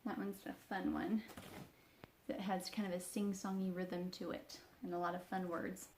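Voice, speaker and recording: Expressive woman reading a rhyming storybook, mellow voice. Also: lowish voice, normal speed, slight background noise. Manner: sing-song, expressive storytelling. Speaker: storybook reader. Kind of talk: rhythmic read-aloud of a rhyming children's picture book.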